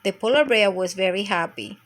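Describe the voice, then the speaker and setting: A woman's voice: mid-range voice, expressive, brisk, fairly bright tone. A woman reading a picture book aloud as a storytime narrator for young children.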